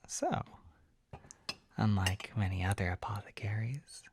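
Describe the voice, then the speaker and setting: An actor voicing a shy, flustered, gentle poison-selling apothecary in a soft, breathy, hesitant whisper for an ASMR roleplay. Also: medium pitch, no room sound, heavily acted. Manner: hesitant, halting, apologetic, easily embarrassed.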